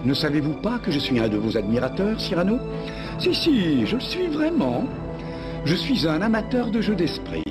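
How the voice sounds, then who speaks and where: Suave gloating cartoon tyrant, highish voice with huge melodic swings, pompous and florid, music underneath. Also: male voice, wildly swooping pitch, normal speed. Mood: gloating admiration. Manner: pompous, mock-courteous, florid. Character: scheming villain, suave, theatrical, gloating male tyrant. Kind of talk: dubbed cartoon villain acting.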